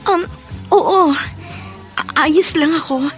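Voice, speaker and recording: Radio drama: an actress as a young woman in love, high-voiced, stammering and evasive. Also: takes it slowly, soft background music. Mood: hesitant. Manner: hesitant, stammering, tearful. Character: young woman Marisa, torn and evasive lover.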